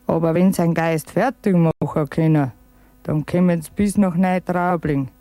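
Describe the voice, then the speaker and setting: Man, crude slow rant, dark mellow tone, slightly high pitch. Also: fairly even intonation, no room sound, soft background music. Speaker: guest shown in a clip.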